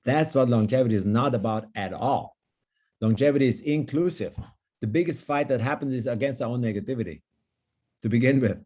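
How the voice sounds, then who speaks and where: Sex: man. Native language: German. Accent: German. Role: longevity coach on a video call. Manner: emphatic, upbeat. Timbre: warm, dark. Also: mid-range voice, fairly quick. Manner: enthusiastic, emphatic. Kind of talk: online panel discussion.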